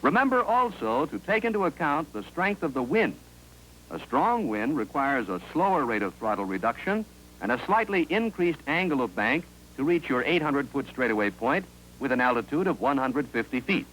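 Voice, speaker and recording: An American man reading scripted narration for a 1950s instructional film in a clear, authoritative, instructive manner, on an old film soundtrack. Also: mid-range voice, very animated, normal speed, a bit bright, slight background noise, poor audio.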